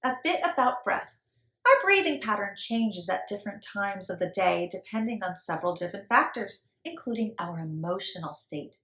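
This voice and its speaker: A woman's voice: medium pitch, expressive, moderate pace, pushed and bright. Woman hosting storytime, roomy echo. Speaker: children's storytime host. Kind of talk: read-aloud explanation and friendly sign-off for children. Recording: echoey room.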